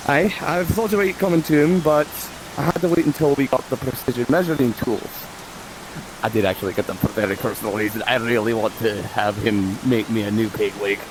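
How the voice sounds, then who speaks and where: A man's voice: mid-range voice, fairly even intonation, brisk, natural voice. A man playing an earnest, eager peg-legged swordsman who is learning, in improvised fantasy roleplay. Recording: hissy, noisy live game voice chat.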